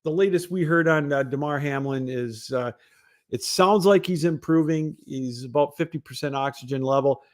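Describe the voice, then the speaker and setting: A man's voice: on the high side, moderate pace. A man hosting a casual solo sports broadcast, talking in a rambling, conversational way. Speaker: sports show host.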